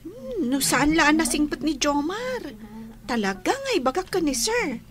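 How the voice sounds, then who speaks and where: A woman's voice: high-pitched, sing-song, measured pace, crowd noise. A woman playing a reproachful young female student in a radio drama, with a pointed, chiding manner. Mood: accusing. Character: reproachful young female classmate threatening to tell. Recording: background noise.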